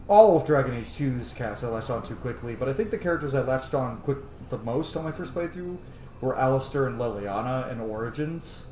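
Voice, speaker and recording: A man's voice: medium pitch, a little mellow. Male game streamer, mid-range voice: casual, rambling, opinionated commentary, recorded in an echoey room. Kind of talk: casual let's-play commentary. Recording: echoey room with noise behind.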